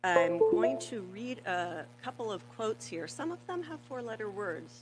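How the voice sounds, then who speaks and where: A woman's voice: medium pitch, expressive, a little slow, loud music behind the voice, rough recording. A retired teacher, an older woman, giving public comment and reading quotations with emphatic stress. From Oakland, California. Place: public meeting hall.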